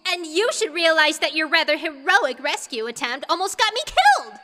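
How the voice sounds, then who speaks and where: Bratty water-creature princess, very high piercing voice, swooping intonation, demanding, scornful, storybook character. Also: female voice, expressive, normal speed, pushed and bright, long reverb tail, faint music behind, exaggerated voice. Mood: angry defiance. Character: shrill haughty young water-creature princess, angry and defiant.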